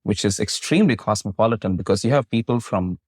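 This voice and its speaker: Measured male physician host, non-native English. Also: fairly low pitch, darkish tone, no echo, studio quality. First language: not English. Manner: measured, formal, long careful questions. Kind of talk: podcast interview, asking questions.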